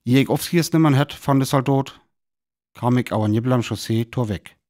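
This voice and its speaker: A man's voice: mid-range voice, fairly quick, darkish tone, close to an everyday voice. A man playing a weary survivor soberly recounting his lonely wandering in a radio play, with a North Frisian accent. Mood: sober, tense. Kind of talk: radio play narration and dialogue.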